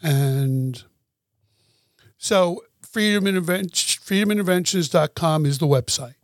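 A man hosting an interview, gentle and unhurried, often laughing as he talks. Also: fairly high pitch, no room sound, studio quality. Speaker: interview host. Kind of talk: casual interview talk.

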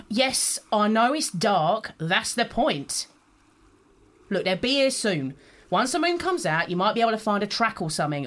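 A woman's voice: mid-range voice, lightly acted. An actress playing an impatient female smuggler, scolding and sarcastic in a radio drama. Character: exasperated bossy female smuggler waiting in marsh.

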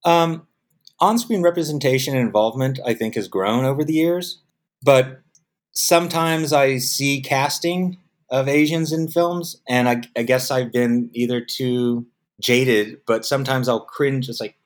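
A middle-aged man from the United States, a film producer speaking in a casual interview, telling stories and acting out the voices of people he quotes. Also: measured pace. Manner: animated storyteller, acts out quoted voices with jumps in pitch; repeats words when restarting.

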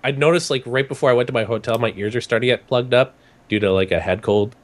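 A young man with a hoarse, raspy voice from a head cold. He is from Canada and chats casually, rambling through a story with plenty of restarts and animated asides. His pitch sits in the middle. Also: fast talker. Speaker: podcast guest recounting a trip. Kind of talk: casual chat.

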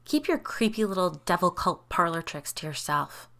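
A defiant woman at the centre of a spooky audio story, played by its female narrator. She is snappish and sweary, frightened but pushing back, with a bright, hard-edged voice. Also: fairly low pitch, sing-song, moderate pace. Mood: angry. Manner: snappish, forceful. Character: defiant, foul-mouthed, frightened woman protagonist of a ghost story.

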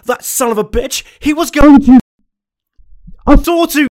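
A man playing a desperate, obsessed confessor in a horror story, halting and repeating words. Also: extremely high pitch, darkish tone, pitched above the performer's own voice. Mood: frantic, desperate. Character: unstable, haunted anonymous confessor on tape, desperate and obsessed. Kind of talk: dramatic first-person horror monologue.

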